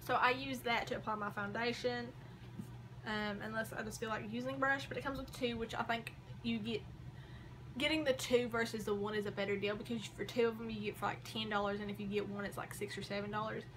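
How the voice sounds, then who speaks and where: A woman's voice: fairly high pitch, steady tone, a little fast, fairly bright tone, slight background noise, low-quality recording. Chatty young woman losing her voice. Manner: chatty, rambling, frequent likes and ums. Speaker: beauty vlogger. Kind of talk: casual makeup haul chat. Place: small bedroom corner by a door.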